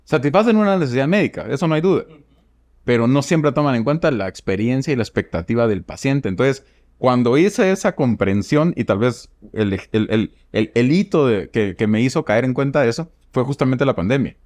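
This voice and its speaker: Guatemalan guy, surgeon on a podcast, talks slow n thoughtful w lots of ums, pitch moves around a lot, dry close sound. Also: lively intonation, a little mellow, dry recording. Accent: Guatemalan Spanish. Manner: reflective, measured, with frequent fillers. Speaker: surgeon guest. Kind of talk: podcast interview.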